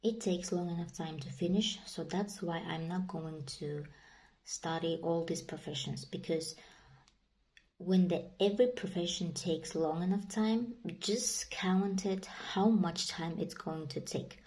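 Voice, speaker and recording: A woman's voice: lowish voice, unhurried, a little mellow, rough recording. A young woman with a Kazakh accent, native Kazakh speaker, chatting casually to camera in a rambling, repetitive way full of fillers. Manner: rambling and repetitive, many "you know" and "like" fillers. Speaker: vlogger. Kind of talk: casual vlog monologue.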